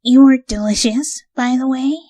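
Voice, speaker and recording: A woman's voice: high-pitched, steady tone, unhurried, warm tone, dry recording, pitched above the performer's own voice. A woman voicing a brash, lazy, unrepentant young female pony in a story reading, casual and blunt. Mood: blunt, grudging.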